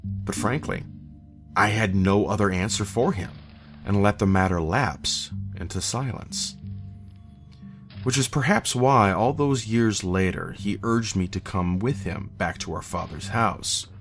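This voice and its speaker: A man narrates a scripted horror story in a fairly low voice. His delivery is measured and literary. Soft, eerie music runs faintly behind him.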